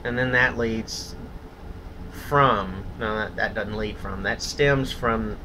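A man's voice: lowish voice, slow, background noise. A man delivering a blunt, profane, contemptuous opinion rant as a solo vlogger.

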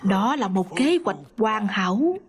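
A woman dubbing every character in a cartoon herself, reading the lines in quick, lively turns. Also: mid-range voice. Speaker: dubbing narrator voicing all cartoon characters. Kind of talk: single-voice cartoon dub. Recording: dub over loud music.

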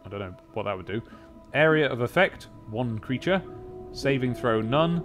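Loud game music plays behind a man in his thirties with a mid-pitched voice. He rambles through a casual let's play, chatty and whimsical, reading bits of game text aloud. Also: lively intonation, moderate pace. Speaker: gaming video host. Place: small home office with desks and monitors. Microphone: boom-arm microphone with pop filter.

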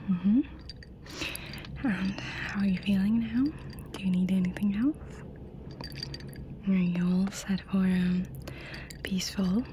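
A woman in her thirties whispers breathily and gently in a soft-spoken ASMR roleplay as an attentive train attendant. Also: medium pitch. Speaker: ASMR performer playing a first-class train attendant. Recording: steady ambient noise behind the voice. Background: clear ambient noise.

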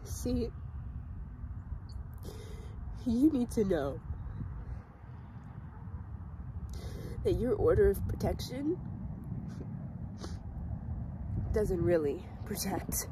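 A woman performing a dramatic monologue as a bitter, desperate teenage outcast on an emergency call, halting and emotionally intense. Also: medium pitch, lively intonation, noisy background, natural voice. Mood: eerily resigned. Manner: slow, halting, emotionally intense delivery with pauses.

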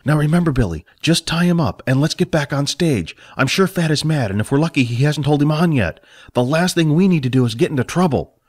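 A man's voice: fairly high pitch, expressive, a little fast, a little mellow, husky, voice pushed up. A man voicing Jack, an eager, nervous young man who talks earnestly. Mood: urging.

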